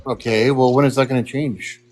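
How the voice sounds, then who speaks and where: An American man in a casual online group chat who talks in an eager, excitable way. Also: mid-range voice, fairly quick, a little hiss or hum, rough recording. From United States.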